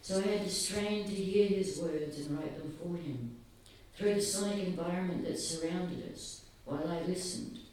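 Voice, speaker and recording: Soft-spoken man in an echoing hall. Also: high-pitched, slight background noise. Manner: earnest, halting, pausing often. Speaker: speaker at a gathering. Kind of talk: reflective public talk. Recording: very echoey, distant, faint ambience.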